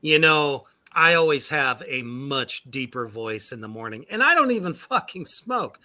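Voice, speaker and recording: A man's voice: mid-range voice, animated, measured pace, bright, forceful tone. A man hosting a casual livestream chat with a band, loose and profane, laughing often. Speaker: podcast host.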